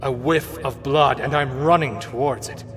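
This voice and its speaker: A man voicing a tormented, self-loathing young soldier cursed to become a werewolf, in a brooding, halting, melodramatic gothic visual novel style. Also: medium pitch, steady tone, a bit bright, faint music behind, natural voice. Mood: bitter, anguished. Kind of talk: gothic visual novel voice acting, dramatic monologue.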